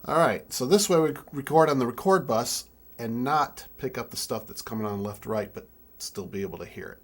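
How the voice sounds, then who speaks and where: A man's voice: mid-range voice, expressive. Young man talking through a hands-on tutorial. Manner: informal, halting, thinking aloud while working. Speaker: music keyboard tutorial presenter. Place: indoor room with draped backdrop.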